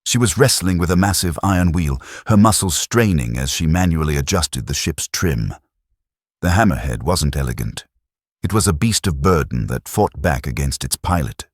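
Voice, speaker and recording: A man narrating a science fiction audiobook with dramatic, expressive storytelling. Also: a bass voice, moderate pace, dry recording, studio quality.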